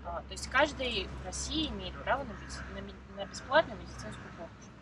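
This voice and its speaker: Woman explaining things over loud traffic. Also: medium pitch, expressive. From Moscow, Russia. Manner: measured and explanatory. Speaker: interview guest. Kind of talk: explanatory conversational answers. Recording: distant mic with loud traffic noise.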